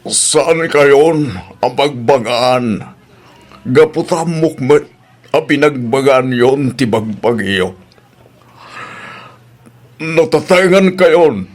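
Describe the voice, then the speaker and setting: A man's voice: medium pitch, drawn-out words, pauses often. A man playing a solemn father of grown children in an Ilocano audio drama. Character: solemn father addressing his grown son. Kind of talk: Ilocano radio-style drama acting.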